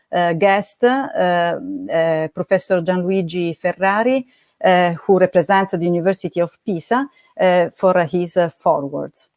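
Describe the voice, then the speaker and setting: A woman hosting an online event, talking haltingly with many fillers. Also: fairly low pitch, no room sound, studio quality. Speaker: event host. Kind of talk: hesitant opening remarks.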